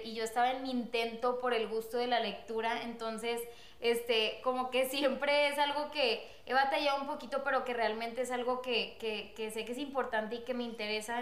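Young woman, high-pitched, lively storyteller, roomy echo. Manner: animated, laughs often, acts out quotes. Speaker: guest telling their love story. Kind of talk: casual storytelling.

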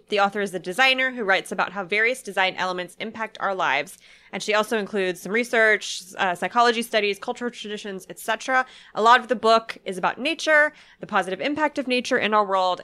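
Woman podcast host, bright voice, chatty and jokey, kinda stuffed up from a sinus thing. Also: medium pitch. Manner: chatty, jokey, quick asides. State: sinus infection voice. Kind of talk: casual chat.

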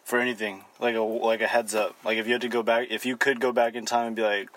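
A man's voice: medium pitch, level tone, fast, a bit bright, rough recording. A young man co-hosting a podcast, asking easygoing, slangy follow-up questions. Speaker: podcast co-host. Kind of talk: casual podcast interview.

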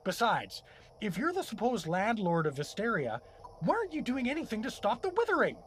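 Animated, indignant everyman dad. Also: high voice, brisk, a little hiss or hum, full character voice. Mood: challenging. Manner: sarcastic, flustered, blustering. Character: dopey, indignant everyman dad, a wisecracking prisoner. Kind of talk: comedic audio drama acting.

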